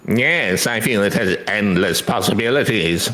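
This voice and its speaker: A man's voice: on the low side, expressive, unhurried, bright, forceful tone. Man acting an earnest, courteous rice farmer, roomy echo. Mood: hopeful, thoughtful. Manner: formal, courteous phrasing. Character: earnest, humble adult rice farmer, polite and enthusiastic. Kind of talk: scripted game dialogue read aloud. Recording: roomy echo, music behind. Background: music.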